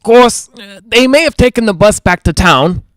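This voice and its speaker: A man's voice: high-pitched, animated, normal speed, fairly bright tone, no echo, clean studio sound. A man playing a grumpy, anxious, penny-pinching property owner in a 1940s-style radio drama, curt and suspicious. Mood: uneasy.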